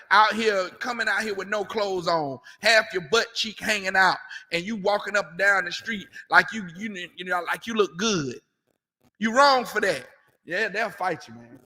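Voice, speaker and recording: A man's voice: high-pitched, pushed and bright, in a large hall. A man giving a confrontational sermon as a street preacher, emphatic and repetitive, with mocking asides.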